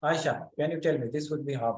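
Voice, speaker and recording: A man teaching a physics class online, speaking haltingly and calling on students by name to check that they follow. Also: fairly high pitch, a little mellow.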